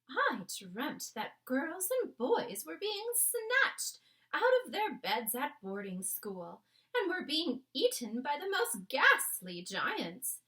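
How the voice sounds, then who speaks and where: A woman voicing a refined, distressed queen from a storybook, with emphatic, drawn-out genteel exclamations. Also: high voice, pushed and bright, pitched above the performer's own voice, exaggerated voice.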